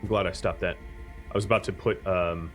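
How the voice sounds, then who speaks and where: Deep-voiced man streaming a survival game. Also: fairly quick, over music. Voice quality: deeper voice. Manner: relaxed, chatty, thinks aloud with many fillers. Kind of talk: casual gameplay commentary to live chat.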